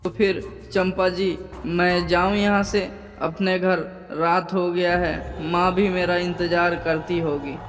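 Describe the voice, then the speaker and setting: A man's voice: high voice, flat delivery, slow pace, soft background music. A man voicing a timid, honest, polite young lad in a cartoon story, with heavy reverb. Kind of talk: Hindi cartoon story dialogue. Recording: long hall reverb.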